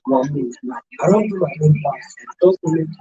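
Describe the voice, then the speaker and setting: An actor playing a defensive young radio fan in an audio drama, speaking Nigerian Pidgin English in a halting, repetitive, insistent way. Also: mid-range voice, dull audio, a crowd in the background, close to an everyday voice. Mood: explaining, keen.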